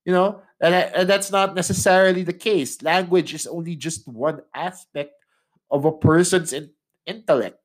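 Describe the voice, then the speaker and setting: A man's voice: high voice, steady tone, normal speed. A young Filipino man, a native English speaker, hosting a solo podcast and speaking in a conversational way, often tagging questions onto the ends of his sentences. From Philippines. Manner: conversational, frequent tag questions, laughs mid-sentence. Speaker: podcast host. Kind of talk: solo opinion monologue.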